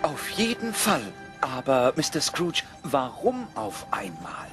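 Polite, wary town gentleman for animation: high-pitched and very animated. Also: takes it slowly, music in the background. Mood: wary, eager. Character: wary charity-collecting gentleman. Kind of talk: German cartoon dub acting.

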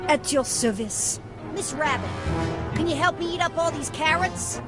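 A cheery cartoon lady rabbit with a confident swagger, played by a woman. Her voice is high and heroic, made for a children's game, with clear music behind it. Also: normal speed, close to an everyday voice.

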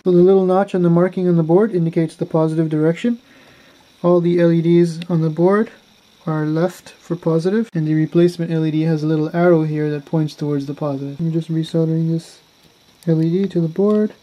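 A man calmly narrating a step-by-step electronics repair tutorial in a plain, methodical way. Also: on the high side, fairly quick, low-quality recording. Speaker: car electronics tutorial maker.

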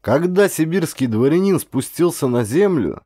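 Animated male audiobook narrator. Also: fairly high pitch, normal speed, no room sound, clean studio sound. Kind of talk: dramatic scripted audiobook narration of historical adventure.

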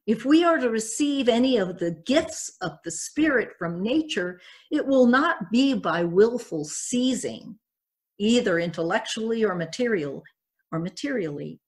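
Measured older woman preaching from a pulpit. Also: mid-range voice. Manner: measured, reflective, reading from notes. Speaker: pastor. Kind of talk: sermon. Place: church sanctuary at a pulpit.

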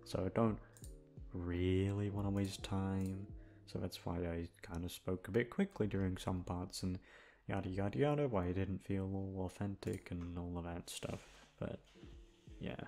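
A man's voice: deep voice, measured pace, dark tone, faint music behind. A young man chatting casually and off the cuff to his listeners, rambling and a little self-conscious. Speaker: audio roleplay voice actor. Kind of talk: casual, unscripted outro chat.

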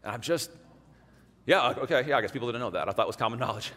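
A male pastor in his forties preaching at a middle pitch, shifting between casual asides and quiet, weighty emphasis. His voice rings out with the long reverb of a large church sanctuary, picked up on a headset mic. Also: fast talker. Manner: conversational, animated, drops to hushed emphasis. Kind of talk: sermon.

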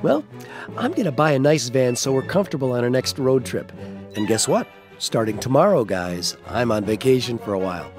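A man's voice: mid-range voice, big pitch swings, a little fast, over music, lightly acted. An actor playing a good-humoured, easily exasperated middle-aged family father in an audio drama. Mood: cheerful, excited.

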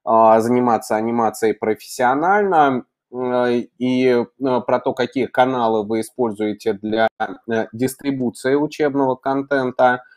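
A middle-aged man hosting an unscripted online interview, phrasing deliberately with long, drawn-out hesitations. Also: mid-range voice. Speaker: host. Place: indoor room, on a video call.

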